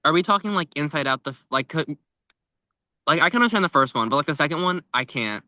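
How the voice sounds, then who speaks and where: Bright-voiced man chatting about books. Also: fairly high pitch, lively intonation, quick pace, no echo, studio quality. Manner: informal, conversational. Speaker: book podcast co-host. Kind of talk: casual book chat.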